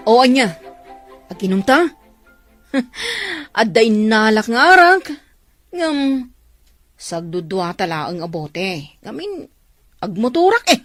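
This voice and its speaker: A woman's voice: medium pitch, no room sound. A woman playing a frank, concerned friend in an audio drama, chatty and reproachful. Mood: friendly. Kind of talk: Ilocano audio drama dialogue.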